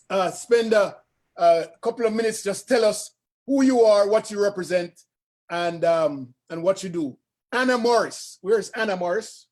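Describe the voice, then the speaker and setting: A man's voice: sits high, darkish tone. A man casually hosting an online session in a jovial, teasing way. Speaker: host moderating an online session. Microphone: video call.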